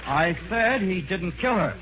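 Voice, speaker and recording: A cunning, cool-headed man out to avenge his sister in a vintage radio mystery. His delivery is deliberate and pressing, with animated intonation. The old recording is muffled, with clear noise throughout. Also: high-pitched. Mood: calm, accusing.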